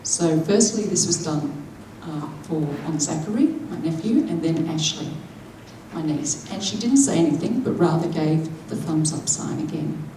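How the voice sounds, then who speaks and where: A woman, interpreting a deaf speaker's keynote into spoken English in the first person, with a measured manner that draws in the audience. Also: low-pitched, warm tone, slight background noise. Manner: measured, engaging the audience with questions. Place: large conference hall. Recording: echoey hall, voice picked up from a distance.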